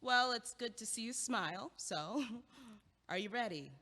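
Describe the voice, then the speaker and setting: An actress in an audio play, playing a wry young woman who teases her friend with quick wit, recorded with a long hall reverb. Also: on the high side, expressive, pushed and bright, full character voice. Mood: teasing. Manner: teasing, quick-witted delivery.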